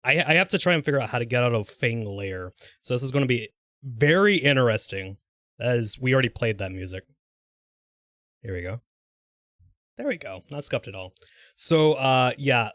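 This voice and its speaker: A man's voice: medium pitch, fairly bright tone, dry recording, clean studio sound. A man hosting a live gaming stream, chatting informally and muttering little filler sounds to himself as he plays. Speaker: streamer hosting a let's play. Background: game music.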